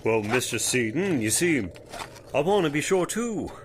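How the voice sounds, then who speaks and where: Genial older judge male actor, old-time radio horror; folksy, deliberate, very lively intonation. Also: a little high, slight background noise. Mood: grave. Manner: folksy, deliberate, hesitant politeness.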